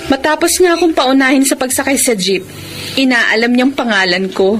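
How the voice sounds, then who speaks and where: A woman's voice: high voice, normal speed, fairly bright tone, slight background noise. An actress with a Filipino accent playing an emotional young working woman in a radio romance drama. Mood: amused, hesitant.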